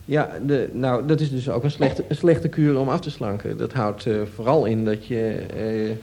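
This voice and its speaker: A man's voice: mid-range voice, steady tone, moderate pace, dark tone. A man giving informal, explanatory advice in a radio discussion, hesitantly searching for his words. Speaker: nutrition expert answering audience questions.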